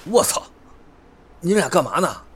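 A man's voice: fairly high pitch, big pitch swings, normal speed, noisy background. A man playing a friendly, considerate young member of a pop group in an audio drama. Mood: surprised.

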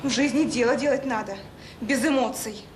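An actress in an audio drama playing an indignant, scornful childcare worker who argues with callous sarcasm. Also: female voice, on the high side, moderate pace, natural voice. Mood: indignant, sarcastic. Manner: argumentative, sarcastic. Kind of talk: Soviet radio drama acting. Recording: roomy radio-play recording with faint noise.

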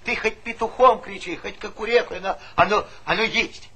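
A man's voice: high voice, normal speed, sharp tone, slightly rough, heavily acted. An actor playing a talkative, stubborn old village grandfather in a stage comedy, folksy and argumentative, repeating himself. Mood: cocky. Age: older. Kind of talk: theatrical stage comedy acting. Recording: noisy 1970s theatre play recording.

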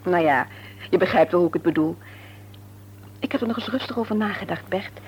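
An actress playing a sensible, frank and caring wife in a radio serial, reasoning earnestly and gently chiding. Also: female voice, fairly low pitch, a little fast, lightly acted. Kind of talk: Dutch radio serial drama acting. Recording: noisy old radio recording.

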